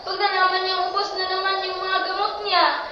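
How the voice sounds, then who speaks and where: A woman's voice: extremely high pitch, monotone, moderate pace, bright, forceful tone. A woman playing a stubborn, impulsive young girl with a petulant delivery in an amateur radio drama. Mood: upset, tearful. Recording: very echoey, distant, dull, faintly noisy.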